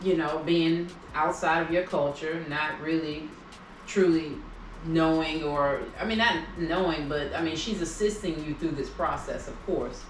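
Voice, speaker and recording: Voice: medium pitch, unhurried, a little hiss or hum. Interviewer asking probing questions, echoey room. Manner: probing questions with affirming replies. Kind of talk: interview conversation. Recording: echoey room, mic at a distance.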